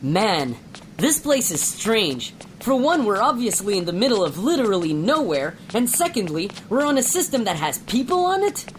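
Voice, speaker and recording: Bemused space captain played by a woman, mid-pitched, lively intonation, slangy, faintly noisy radio drama audio. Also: normal speed, natural voice. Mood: puzzled, resigned. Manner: casual, slangy. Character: bemused, complaining male starship captain. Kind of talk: amateur sci-fi radio play.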